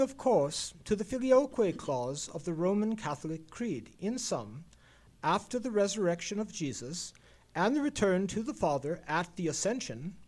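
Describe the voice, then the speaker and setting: A man's voice: sits high, a little mellow. An actor playing an erudite, world-weary male detective in an old-time radio play, with a pedantic and eloquent manner. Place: live performance in a theatre.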